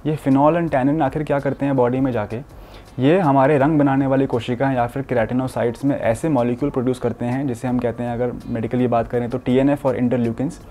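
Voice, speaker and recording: A man's voice: mid-range voice, steady tone, brisk, mellow. A young man, a doctor, explaining health advice to camera in an earnest, explanatory way, mixing English medical terms into his talk. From India. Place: furnished studio room with curtains, indoors.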